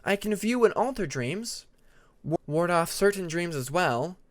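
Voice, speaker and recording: A man voicing a patient, earnest young female dragon who explains her magic in a measured way in an audiobook. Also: high-pitched, animated, pitched above the performer's own voice. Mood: explaining.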